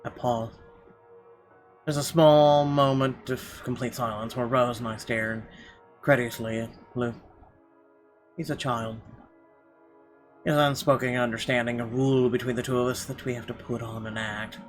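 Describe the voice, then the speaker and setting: A man's voice: mid-range voice, fairly even intonation, normal speed, pauses often. A man hosting a gaming video, reading a visual novel's narration aloud. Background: game music.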